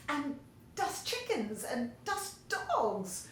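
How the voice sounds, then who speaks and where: A woman's voice: high voice, huge pitch swings, very slow, pitched above the performer's own voice, full character voice. A woman voicing a gossipy storybook girl, squealing and exaggerated, with gleeful disdain. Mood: gleeful scorn. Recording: very echoey room, faint noise.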